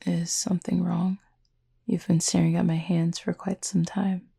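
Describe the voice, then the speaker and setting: A reserved supernatural cupid played by a woman in an intimate audio roleplay. The voice is lowish for a woman, very creaky and husky, often close to a whisper. Speech is formal and measured, tender with a gently wry edge, and the pitch stays fairly flat. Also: heavily acted. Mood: tender, touched.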